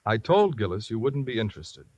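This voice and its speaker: A man's voice: lowish voice, very animated, fairly quick, darkish tone, no echo, dull audio. An actor playing an earnest, harried male insurance company manager in an old radio drama, pleading and exasperated. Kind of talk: 1950s radio drama acting.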